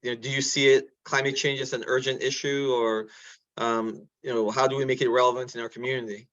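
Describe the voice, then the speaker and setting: A man's voice: mid-range voice, little pitch movement, a little fast, a bit bright, no echo, dull audio. A man facilitating a meeting, politely calling on people and prompting them with questions, over a video call. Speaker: discussion facilitator. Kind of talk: moderating a meeting.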